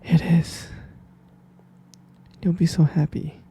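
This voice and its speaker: A man voicing an obsessive, possessive young captor in an ASMR roleplay, whispering softly and calling the listener pet names. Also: fairly high pitch, level tone, slow pace, exaggerated voice. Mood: obsessive, doting. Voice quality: gravelly whisper.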